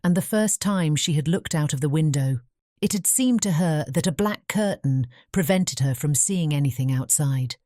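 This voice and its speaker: A woman narrating a fairy tale audiobook in a measured bedtime storytelling manner. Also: sits low, dark tone, no echo, clean studio sound.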